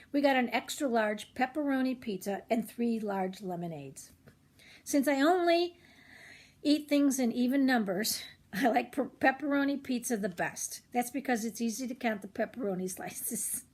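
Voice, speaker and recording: Husky older woman reading a children's storybook. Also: on the high side, lively intonation, normal speed, darkish tone. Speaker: children's read-aloud host. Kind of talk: expressive storybook narration with chatty asides to children.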